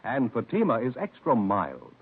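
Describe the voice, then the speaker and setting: A man's voice: medium pitch, huge pitch swings, moderate pace, slightly rough. A man reading a 1950s radio advertisement in an emphatic salesman's manner, on a tinny old broadcast. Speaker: commercial pitchman.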